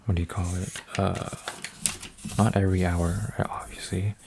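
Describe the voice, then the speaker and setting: Deep, flat-voiced young man rambling softly. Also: measured pace, gravelly, background noise. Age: 20s. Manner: meandering, full of fillers and restarts; folding paper while talking, drops to whispers. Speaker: ASMR creator. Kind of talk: soft-spoken rambling ASMR.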